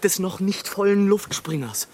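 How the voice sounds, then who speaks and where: An actor playing an exalted airship pilot in a literary radio play, declaiming poetically and theatrically. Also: male voice, high-pitched, animated, normal speed, a little mellow, slight background noise. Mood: frantic excitement. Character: exalted male airship pilot, wildly agitated, then awestruck and solemn. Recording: 1980s radio drama production with music.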